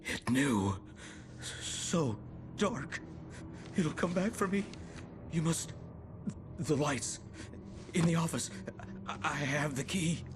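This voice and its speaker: A man's voice: fairly high pitch, expressive, takes it slowly, long pauses. An actor playing a terrified, injured man, weak and breathless, in a video game cutscene. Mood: weak, afraid. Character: wounded, terrified man gasping for help.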